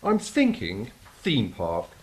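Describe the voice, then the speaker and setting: An actor playing a skeptical, wisecracking angel in a stage drama. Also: male voice, on the low side, huge pitch swings, slow pace, heavily acted. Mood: scheming, flippant. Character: skeptical, wisecracking angel on celestial clean-up crew. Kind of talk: theatrical stage drama acting. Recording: clearly noisy stage recording.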